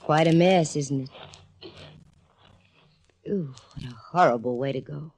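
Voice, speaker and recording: A woman's voice: low-pitched, unhurried, a little mellow. A woman playing a sassy, wisecracking private eye who narrates a 1940s radio drama. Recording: old radio broadcast with music.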